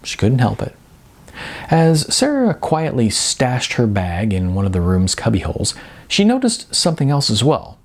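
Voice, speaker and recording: A man's voice: medium pitch, wildly swooping pitch, normal speed. A man, an author reading from his own novel in an informal, conversational storytelling style.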